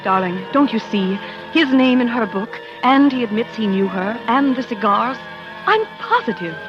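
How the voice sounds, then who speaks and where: An actress playing a determined young wife who coyly poses as a sweetheart in a 1940s radio drama, hesitant and coaxing. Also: female voice, a little high, lively intonation, moderate pace, close to an everyday voice. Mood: fervent, secretive. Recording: dull vintage radio recording with music.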